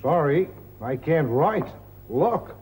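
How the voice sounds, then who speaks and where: A man's voice: a little high, animated, takes it slowly, a little mellow, slight background noise, low-quality recording. An actor playing a hesitant male traveller who gives short answers to an immigration officer.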